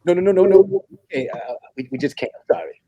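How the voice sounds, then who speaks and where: Guy doing a flustered polite comedy boyfriend, stammering fibs, husky and mellow, dry close sound. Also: fairly high pitch, no room sound, muffled recording, natural voice. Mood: apologetic. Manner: stammering, halting, over-polite. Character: apologetic, flustered man, nervously fibbing to impress his date. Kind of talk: comedy table read.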